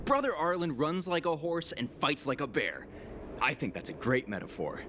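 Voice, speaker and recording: A man's voice: a little high, big pitch swings, moderate pace, noisy background. An actor playing an earnest, boastful male security guard in a video game, a hero-worshipping fanboy with an emphatic, pompous, dramatic delivery. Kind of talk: video game character dialogue. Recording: music behind the voice.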